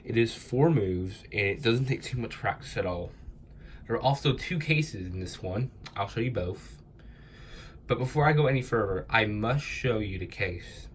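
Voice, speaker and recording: A young man with a cold, his voice stuffy and congested, explains each step in a loose, meandering way full of fillers. His voice sits on the low side, and a faint hiss runs underneath. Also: lively intonation, moderate pace. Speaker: cubing tutorial host. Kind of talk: casual tutorial explanation.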